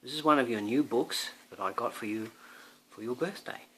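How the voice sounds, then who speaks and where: A man's voice: medium pitch, moderate pace, sharp tone, a little hiss or hum, poor audio. A man, a father, reading a picture book aloud to his young son, drawing out words expressively and adding chatty asides that prompt the child.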